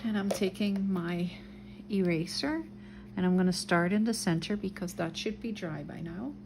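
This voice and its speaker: A woman with a light Dutch accent, calmly talking through each step as she draws and colors a mandala. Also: on the low side, moderate pace, gravelly, background noise. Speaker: craft video host. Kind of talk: relaxed craft tutorial narration.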